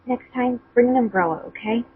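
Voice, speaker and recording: Woman acting a high, blunt girl, muffled line. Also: brisk, slightly rough. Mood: blunt, reluctant. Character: tough teenage girl and teasing older sister. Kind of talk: amateur fan fiction character reading. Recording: muffled phone-like voice chat line.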